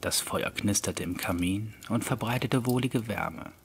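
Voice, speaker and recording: Low-pitched male audiobook narrator. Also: unhurried, creaky, a little hiss or hum. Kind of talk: scripted literary narration of an eerie story.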